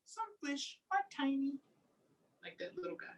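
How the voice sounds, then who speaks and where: A man's voice: very high-pitched, big pitch swings, normal speed, far from the mic. A man hosting a storytime, reading aloud and chatting simply and encouragingly with young children. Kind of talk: read-aloud and casual chat to young children.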